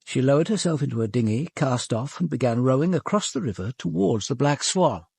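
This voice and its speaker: An actor with a British accent playing a senior police detective in a radio drama, measured, formal and probing. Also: male voice, mid-range voice, expressive, gravelly, a little mellow, slightly rough, no room sound, studio quality, exaggerated voice. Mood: reflective. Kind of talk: British radio drama acting and first-person narration.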